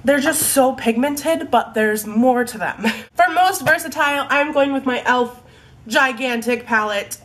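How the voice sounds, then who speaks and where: A young woman with a stuffy, congested voice from a cold, chatting casually about her favorite products, full of likes and ums. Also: a little high. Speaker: beauty vlogger. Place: home room in fading daylight.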